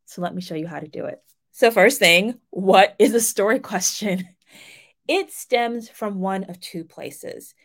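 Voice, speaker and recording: Middle-aged female instructor, mid-range voice, informal and self-deprecating, walking viewers through a lesson. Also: medium pitch. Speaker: writing instructor and romance novelist. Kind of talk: informal explanatory video lesson.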